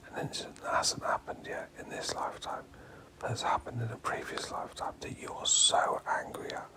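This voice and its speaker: A man leads a guided meditation in a hushed, breathy whisper. His phrases come in short pieces with pauses between them, circling back on words in a hypnotic way. When his voice surfaces, the pitch is middling and moves around widely. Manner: slow phrases with pauses, repeating words for emphasis.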